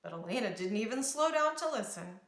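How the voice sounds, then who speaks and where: A woman reading a children's storybook aloud in a dramatic, expressive storytelling manner. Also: mid-range voice, brisk. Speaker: storybook reader. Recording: roomy, echoing sound.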